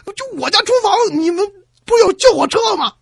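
A man's voice: very high voice, animated, moderate pace, vocal fry, noisy background, pitched above the performer's own voice, exaggerated voice. A man voicing a panicked, stammering emergency caller in a comedy radio sketch. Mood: desperate. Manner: stammering, frantic cries. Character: panicked, stammering caller reporting a kitchen fire.

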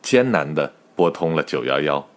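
A man's voice: rumbling low, big pitch swings, moderate pace. A man narrating a comic radio drama as a wry, mock-serious storyteller, with noise behind him. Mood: wry, dramatic.

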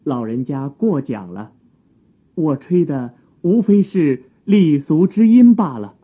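An actor playing a courteous, tender young musician in a Chinese radio drama, with humble, emotive, old-fashioned phrasing. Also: male voice, a little high, animated, slow pace, slightly rough, heavily acted. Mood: humble, polite. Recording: muffled, narrow phone-like sound.

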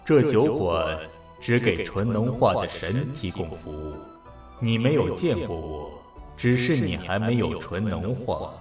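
A man's voice: lowish voice, lively intonation, unhurried, a little mellow. A man playing a mysterious, serene, hospitable bartender to the gods in an audio drama, with a leisurely drawl. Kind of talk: Mandarin audio drama acting. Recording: echoey, distant voice over music.

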